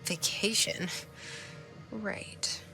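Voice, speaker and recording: An actress playing a guarded young woman's inner voice in a video game, confiding and dry, in hushed monologue. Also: drawn-out words, over music, rough recording. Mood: wry. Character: guarded young woman's inner voice, wry, resolute new director.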